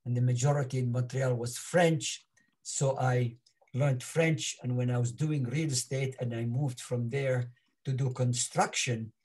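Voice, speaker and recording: A man in his eighties with an Iraqi Arabic accent, whose first language is Arabic, earnestly telling his life story on a video call with halting restarts. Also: medium pitch, a little mellow, breathy edge. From Iraq. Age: 80s. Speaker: interview guest. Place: home, in front of a virtual backyard background.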